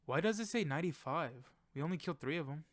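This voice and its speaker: A man's voice: fairly high pitch, expressive, fast, dry recording, clean studio sound, lightly acted. A man playing an eager, inquisitive young friend in an amateur audio drama. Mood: curious, casual.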